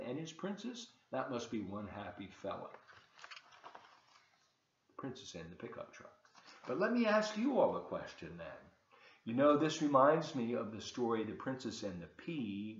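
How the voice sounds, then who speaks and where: A man's voice: mid-range voice, a bit bright. A man in his seventies reading a children's storybook aloud expressively, with explanatory asides to his listeners. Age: 70s. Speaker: volunteer storybook reader. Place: large open kitchen and living room, indoors. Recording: echoey room, slightly dull webcam sound.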